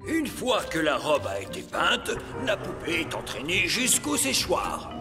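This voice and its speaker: An actor playing an earnest, proud elf toymaker, explaining things in a French children's cartoon. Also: male voice, fairly high pitch, huge pitch swings, a little slow, long reverb tail, music in the background, exaggerated voice. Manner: explanatory, exclaiming.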